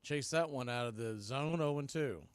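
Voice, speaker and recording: A man's voice: medium pitch, moderate pace, clean tone, no echo. A man giving live baseball play-by-play as announcer and host, speaking in clipped phrases with brief asides.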